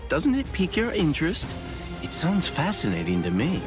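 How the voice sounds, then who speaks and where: A man's voice: on the high side, huge pitch swings, normal speed. An actor playing a sly, playful man in an anime game, teasing and drawling with mock innocence and theatrical curiosity. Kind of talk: anime video game voice acting. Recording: music behind. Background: background music.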